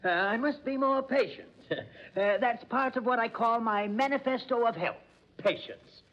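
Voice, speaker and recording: An actor playing a self-important, fussy doctor in a 1940s radio mystery, pompous and fluttery in manner. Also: male voice, very high-pitched, moderate pace. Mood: fussily apologetic. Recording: muffled 1940s radio recording.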